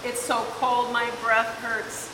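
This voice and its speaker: A woman reading a children's picture book aloud in an expressive, deliberate storytelling manner. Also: on the high side. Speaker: volunteer story reader. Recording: echoey hall, distant mic, clear hiss.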